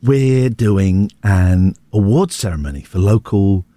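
A man with an English accent presenting a casual radio show in an excitable, rambling, jokey way. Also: lowish voice, slow, dry recording. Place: radio studio.

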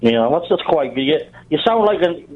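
Bloke phoning in, muffled line, swoopy voice, chatty and teasing. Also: male voice, fairly high pitch, expressive, brisk, husky. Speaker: caller. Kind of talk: casual phone-in chat. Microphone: phone.

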